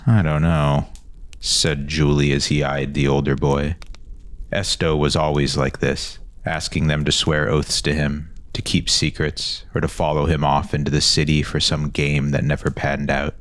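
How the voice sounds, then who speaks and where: Man, extremely low voice, scripted fantasy narration, dry close sound. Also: monotone, normal speed, a bit bright, no echo.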